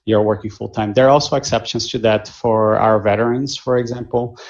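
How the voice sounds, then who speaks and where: A man with a Brazilian Portuguese accent and a low, gravelly voice walks prospective students through admissions. He talks in measured stretches full of small fillers and restarts. The video call audio sounds dull and muffled. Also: steady tone. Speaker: admissions director. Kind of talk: answering questions in a virtual info session.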